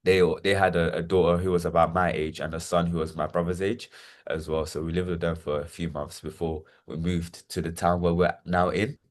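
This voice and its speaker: Man, 20s, from Nigeria; low-voiced, halting testimony. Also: flat delivery. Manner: hesitant, repeats words, many fillers. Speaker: interview guest. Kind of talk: reflective personal testimony.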